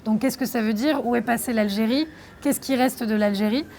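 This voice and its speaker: A young woman from France answering interview questions about her documentary, reflective and thoughtful, pausing often. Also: fairly high pitch, a little mellow, a little hiss or hum. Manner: reflective, thoughtful, with frequent hesitations. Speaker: documentary filmmaker being interviewed.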